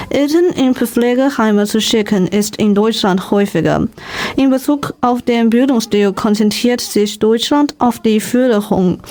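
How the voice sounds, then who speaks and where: A woman from China with a Chinese accent, a native Chinese speaker giving prepared, somewhat halting answers as a radio guest. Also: medium pitch, dark tone. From Shanghai, China.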